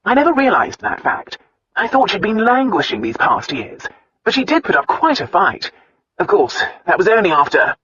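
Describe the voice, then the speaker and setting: A man voicing an amused young gentleman who speaks tenderly and teasingly in a storybook reading. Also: high voice, huge pitch swings, moderate pace, a little hiss or hum, pitched above the performer's own voice, heavily acted. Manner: lightly raised, teasing and soothing. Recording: slightly muffled dry recording.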